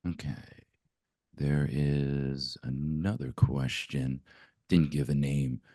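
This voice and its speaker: Hoarse, very deep-voiced man moderating. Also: dark tone, no room sound, studio quality. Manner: brisk, reads out audience questions. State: hoarse from laryngitis.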